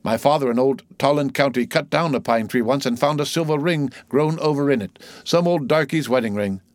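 A man's voice: medium pitch, fairly quick, dry recording, pitched above the performer's own voice, lightly acted. A man voicing a jocular old sailor who mutters exclamatory asides to himself in a theatrical storybook reading. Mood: wry.

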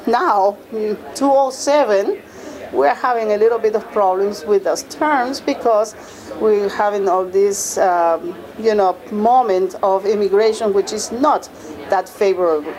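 A middle-aged woman talks at a forum in a thoughtful, halting way. Her voice sits at an ordinary pitch for a woman. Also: a little mellow, poor audio. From Peru. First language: Spanish. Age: middle-aged or older. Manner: thoughtful, hesitant, with restarts. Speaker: panelist. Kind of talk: forum discussion.

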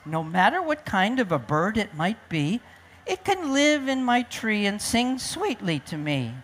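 Motherly tree kangaroo played by a woman, storybook style, lively pitch, unhurried, earnest and devoted. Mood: eager. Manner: earnest, tender storybook delivery. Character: motherly female tree kangaroo, eager and loving.

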